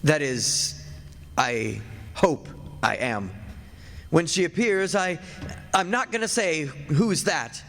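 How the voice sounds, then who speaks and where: Radio drama, male actor as henpecked old husband and professor: highish voice, folksy, confiding, flustered, music underneath. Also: animated, slow, gravelly, pauses often, fairly bright tone, exaggerated voice. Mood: resolved, nervous. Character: hapless, henpecked centuries-old husband working as university professor. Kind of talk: old-time radio play acting, narrating confidingly to listeners. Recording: stage radio play with music.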